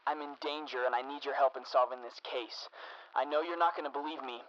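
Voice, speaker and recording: A man's voice: medium pitch, fairly even intonation, a little fast, fairly bright tone, muffled recording, lightly acted. A man playing an urgent, pleading son sending a recorded message from the future in a radio drama, speaking earnestly.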